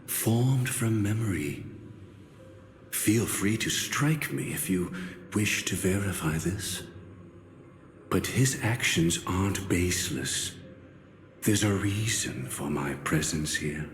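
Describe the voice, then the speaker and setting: A spirit made of memory in an anime game, composed and polite. The deep voice is solemn and very deliberate, with slow delivery and long, echoing reverb. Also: male voice. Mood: calm, courteous. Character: calm, courteous male spirit formed from memory. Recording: echoey long reverb, faint noise.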